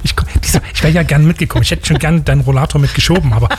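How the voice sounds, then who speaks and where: A man's voice: on the high side, very fast, darkish tone, slightly rough. A man from Leipzig with a Saxon German accent and a slight lisp, rambling and joking about himself as a radio host in casual chat. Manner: chatty, rambling, self-mocking, with many fillers and restarts.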